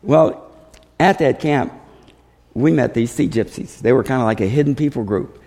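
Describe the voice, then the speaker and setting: A man with a gravelly, mellow voice tells missionary stories as a testimony. He is animated in how he relays who said what. The voice rings through a reverberant hall. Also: medium pitch, normal speed. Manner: animated, acts out dialogue. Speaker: missionary speaker. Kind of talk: testimony storytelling to a congregation.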